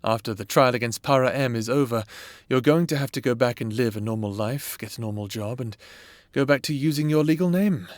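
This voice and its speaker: A man playing a patient government therapist in an audio roleplay, with measured, clinical and formal diction, close on the mic. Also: medium pitch, no room sound, close to an everyday voice. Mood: calm, clinical. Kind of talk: cinematic audio roleplay acting, addressing the listener. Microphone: close, dry studio mic.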